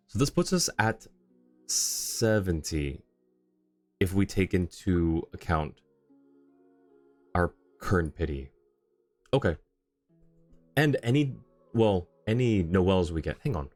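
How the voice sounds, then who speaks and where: A man's voice: low-pitched, big pitch swings, measured pace, long pauses, a little mellow. A man chatting casually on a game livestream, thinking aloud and rambling as he reads chat, with music behind him. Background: video game music.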